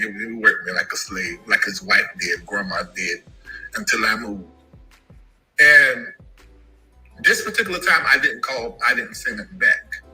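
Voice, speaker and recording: A man telling an emotional personal story about his family, animated and given to sudden high shouts. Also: rough recording. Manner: animated, jumps into high-pitched shouts when quoting.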